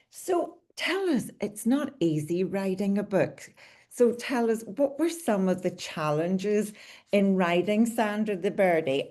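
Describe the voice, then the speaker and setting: A woman's voice: fairly low pitch, dark tone. A woman hosting an interview podcast, asking questions and adding long, affirming remarks of her own. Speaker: podcast host.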